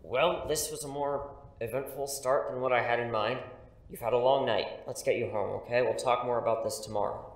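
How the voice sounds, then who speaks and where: A protective superhero mentor, played by a man, casual and steady, speaking in short reassuring phrases. The audio drama recording is echoey, with faint noise and rumble. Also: a little fast, natural voice. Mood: concerned, reassuring. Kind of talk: naturalistic audio drama acting.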